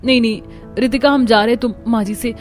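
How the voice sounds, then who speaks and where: A woman's voice: a little high, fairly even intonation, fairly quick, soft background music, natural voice. A woman voicing an earnest, dutiful young daughter-in-law in a cartoon story. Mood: eager, concerned.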